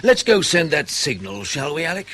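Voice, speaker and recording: An actor playing an unflappable male intelligence officer in a radio drama, laconic, casual and quietly pressing. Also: fairly high pitch, sing-song, normal speed, slight background noise. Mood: quietly probing.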